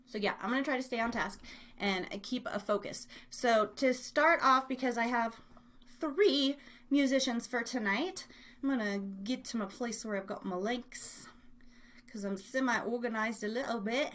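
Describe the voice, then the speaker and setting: A woman's voice: fairly high pitch, normal speed, fairly bright tone. A woman hosting a live online show, chatting casually in a bubbly, playful, scattered way. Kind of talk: casual rambling livestream chat.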